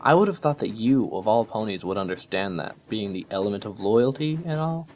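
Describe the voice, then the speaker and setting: A man's voice: on the low side, lively intonation, fairly quick, a little mellow, muffled recording. A man voicing an earnest, principled young female unicorn pony who lectures her friend. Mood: firm.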